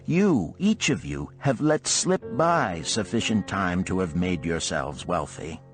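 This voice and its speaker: A man's voice: low voice, sing-song, slow pace, soft background music, full character voice. A man voicing a wealthy, wise Babylonian man in a storybook, lecturing his friends in a grave, formal way. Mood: reflective, resolute. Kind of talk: storybook character reading.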